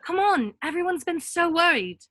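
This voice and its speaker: A woman plays a bookish young witch in a table read. She sounds high and bright with lively, swooping intonation, eager and excitable, recorded close and dry. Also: moderate pace, no room sound, full character voice. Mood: eager excitement.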